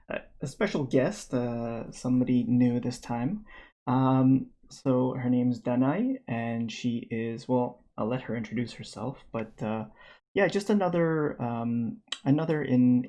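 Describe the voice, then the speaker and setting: A man's voice: medium pitch, animated, measured pace, a little mellow. A man hosting a podcast, introducing a guest and asking questions with hesitant fillers. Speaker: podcast host. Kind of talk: casual interview.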